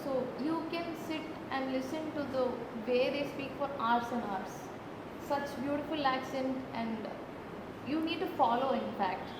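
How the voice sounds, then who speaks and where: A woman's voice: high-pitched, a little slow. A woman from Tamil Nadu with an Indian Tamil accent, speaking unscripted as a presenter on a panel and often repeating words as she goes. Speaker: photographer presenting a residency project. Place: large reverberant indoor event space. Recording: very echoey hall, clear background noise.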